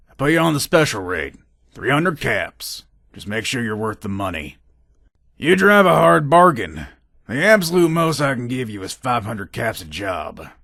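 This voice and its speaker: A man's voice: mid-range voice, vocal fry, pushed and bright, no echo, clean studio sound, exaggerated voice. Man playing a shrewd gun trader, swinging intonation. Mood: hard bargaining. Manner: casual, hard-bargaining salesman patter. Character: shrewd, businesslike male gun trader and robber. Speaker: voice actor. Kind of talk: video game character dialogue.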